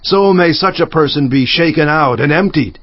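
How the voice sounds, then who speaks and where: An actor playing a resolute biblical governor, narrating scripture in a solemn, emphatic, declamatory manner. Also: male voice, a little high, moderate pace, no room sound, lo-fi sound, natural voice. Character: resolute, indignant biblical governor recounting his own deeds. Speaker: narrator of dramatized audio Bible.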